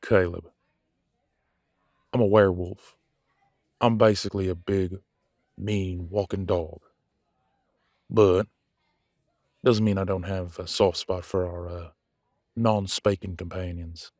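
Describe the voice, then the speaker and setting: Guy doing cocky werewolf vet for audio drama, deepish voice, laid-back streetwise talk, no room sound. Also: fairly even intonation, takes it slowly, long pauses, a little mellow, no room sound, studio quality. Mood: earnest, candid. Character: cocky, casual male werewolf veterinarian, gruff but soft-hearted. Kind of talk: audio roleplay drama dialogue.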